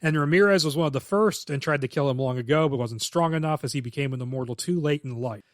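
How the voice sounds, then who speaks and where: A man's voice: fairly high pitch, fast, dry recording, clean studio sound. A man co-hosting a podcast, recapping a film script plot in detail and giving blunt, opinionated takes with casual swearing. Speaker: podcast co-host.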